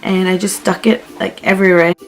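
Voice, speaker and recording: A young woman from the Philippines talks through her home vlog in a chatty, easygoing way, with plenty of "like" and "um". Her voice is strained, as she is losing it. Soft music plays faintly underneath. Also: on the low side, little pitch movement, brisk, low-quality recording. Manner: chatty, with fillers like "like" and "um". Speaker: vlogger. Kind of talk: casual home vlog narration. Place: living room at home.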